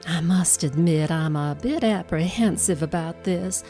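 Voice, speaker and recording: A woman's voice: on the low side, measured pace, warm tone, faint music behind. A woman playing an apprehensive, polite patient in an audio drama, speaking hesitantly. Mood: nervous politeness. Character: apprehensive, polite female patient troubled by dreams.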